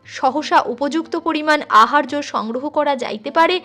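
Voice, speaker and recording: A woman's voice: sits high, normal speed, bright, forceful tone, soft background music. A woman voicing an anxious, humble village father who pleads formally and apologetically in a story. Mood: apologetic, distressed.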